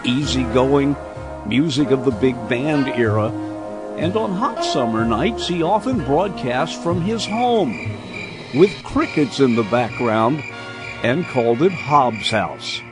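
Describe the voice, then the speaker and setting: Slow, animated older American man telling stories. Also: medium pitch, over loud music. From Indiana, United States. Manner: measured, reminiscing storyteller. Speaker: host and storyteller. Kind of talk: scripted personal narration.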